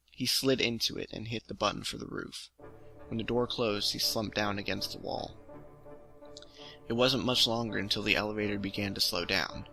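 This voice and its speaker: Male amateur story narrator, lowish pitch with vocal fry, music bed underneath. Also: pushed and bright, rough recording. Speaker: storytelling host. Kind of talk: reading own short science fiction story aloud.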